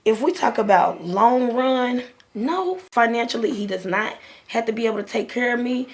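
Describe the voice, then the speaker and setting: A woman's voice: a little high, expressive, normal speed. A woman co-hosting a podcast, speaking directly and colloquially in an outspoken way during casual panel chat. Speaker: podcast co-host.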